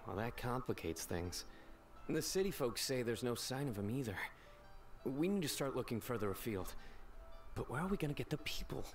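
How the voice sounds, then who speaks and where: A man's voice: mid-range voice, expressive, normal speed, soft background music, lightly acted. A man playing a concerned but friendly city guard in a video game. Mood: troubled.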